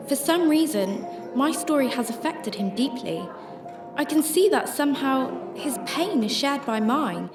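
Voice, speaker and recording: A woman playing a grieving mother searching for her missing husband in a radio drama, with a halting, emotional delivery. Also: on the high side. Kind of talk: radio drama acting and first-person narration. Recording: music under the voice.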